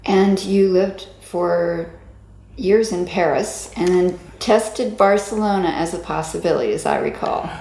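A woman interviewer asking measured, attentive questions. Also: on the low side, a little mellow. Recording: echoey room.

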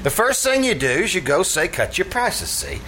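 Man playing high, bright, scheming tavern manager. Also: sing-song, moderate pace, heavily acted, poor audio. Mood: instructing. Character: scheming, blustering tavern manager mangling his English. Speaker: troupe actor. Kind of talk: old-time radio comedy acting. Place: radio theater stage. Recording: live stage show, faint noise.

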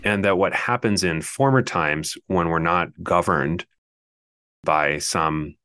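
A man co-hosting a podcast, explaining things in a thoughtful, steady way during a casual theological discussion. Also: low-pitched, slow, clean tone. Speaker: podcast co-host.

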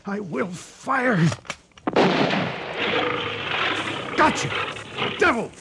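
Halting, haunted lone night hunter. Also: male voice, very high voice, animated, gravelly, full character voice. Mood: frantic, terrified. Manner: halting, broken fragments, muttering repetition. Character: exhausted, terrified lone hunter stalking a lion at night. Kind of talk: dramatic radio monologue. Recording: noisy old radio drama recording.

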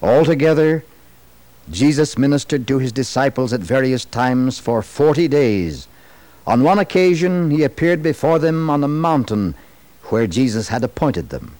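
A man narrating a dramatized 1960s religious radio program in a solemn, measured, theatrical storytelling manner. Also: mid-range voice, expressive, a little mellow, dry recording, poor audio.

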